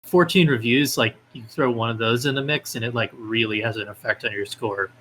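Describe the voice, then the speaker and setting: A man's voice: medium pitch, fairly quick, sharp tone, a little hiss or hum. A man being interviewed as a guest, talking casually with hesitant phrasing and lots of filler words. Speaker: podcast guest, independent game developer.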